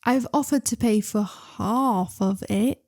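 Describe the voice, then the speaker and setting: A young woman hosting her channel, reading online stories aloud with chatty, excitable commentary and asides. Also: medium pitch, a little slow. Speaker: reader and channel host.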